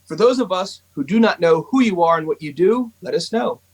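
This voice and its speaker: A man's voice: sits high, lively intonation, brisk, husky, poor audio. A young man hosting a podcast, reading an upbeat intro and asking the guest questions. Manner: upbeat, hyping, says "I love it". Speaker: podcast host.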